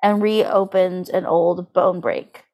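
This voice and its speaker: A woman's voice: fairly low pitch, steady tone, slow pace, muffled recording. A woman co-hosting a podcast in casual comedic chat, talking informally and incredulously, sometimes reading aloud with drama. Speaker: podcast co-host.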